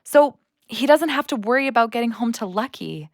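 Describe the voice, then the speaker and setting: A woman playing an earnest, supportive daughter in an audio play, gentle and sincere. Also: on the high side, lively intonation, brisk, no room sound, studio quality. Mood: earnest, proud.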